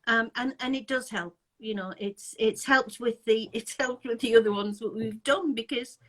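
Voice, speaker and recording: A woman's voice: on the high side, moderate pace, fairly bright tone. A woman from England hosting a casual live-chat interview over a video call, chatty, laughing often and restarting her sentences. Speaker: interviewer, anti-scam group host.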